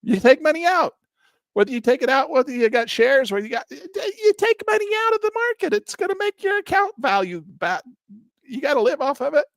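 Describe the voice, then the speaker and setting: Older male educator, lively and exasperated, dry close recording. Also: a little fast, no room sound. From Southern Utah. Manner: animated and exasperated, mocks critics in a high squeaky voice, drops low to grumble. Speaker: trading educator. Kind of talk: live-stream trading lesson, off-the-cuff rant.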